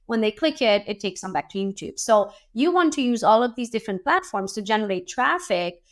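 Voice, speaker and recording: A woman host, hoarse and losing her voice, teaching social media marketing in a chatty, practical way that keeps checking in with "right?". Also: fairly high pitch, animated, fairly quick. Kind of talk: casual teaching talk.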